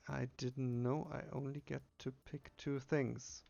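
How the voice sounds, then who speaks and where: A man's voice: mid-range voice, little pitch movement, a little slow, gravelly, warm tone, highs cut off. A man casually playing through a game, reading its text aloud and muttering his own asides. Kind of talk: casual game playthrough with read-aloud dialogue.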